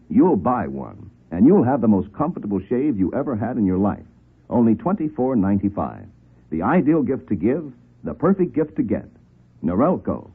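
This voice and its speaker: Over a tinny old radio line comes an American male announcer with a husky edge. He delivers scripted ad copy in a polished, emphatic 1950s style, with a very animated pitch. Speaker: commercial announcer. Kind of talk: 1950s radio advertising read.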